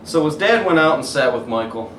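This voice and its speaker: A man reading a children's picture book aloud as a coach would, pausing to point out the pictures. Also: fairly high pitch, animated, fairly bright tone. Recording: roomy, distant mic, faint hiss.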